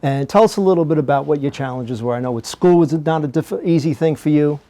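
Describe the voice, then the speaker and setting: Slightly high-voiced male talk show host. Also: fast, mellow. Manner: friendly, encouraging, laughs along. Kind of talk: interview hosting.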